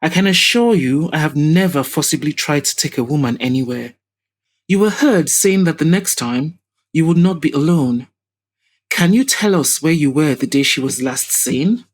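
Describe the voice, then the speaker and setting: Audio drama companion: composed, polite man, played by a female narrator, measured and diplomatic. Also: mid-range voice, expressive, close to an everyday voice. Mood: firm.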